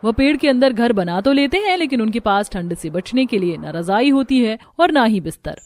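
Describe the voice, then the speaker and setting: A woman's voice: a little high, lively intonation, normal speed, a little mellow. A woman storyteller reading scripted narration for a cartoon moral story.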